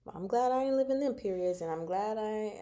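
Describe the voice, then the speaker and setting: A woman hosting a casual book discussion livestream, chatting to viewers in an expressive, exclaiming manner. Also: mid-range voice, brisk. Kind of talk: casual chat, discussing a novel with live viewers.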